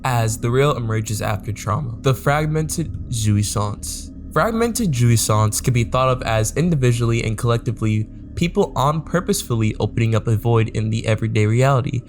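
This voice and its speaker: A man reading a scripted explainer narration in a steady, measured way, slowing down to stress key terms. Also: medium pitch. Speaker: YouTube horror explainer. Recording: narration with clear music underneath.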